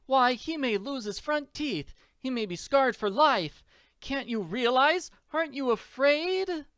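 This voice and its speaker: Performer putting on a shrill, scornful rich wife. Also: expressive, measured pace, fairly bright tone, smooth, no room sound, studio quality. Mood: upset, indignant. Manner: indignant, haughty, overwrought exclamations.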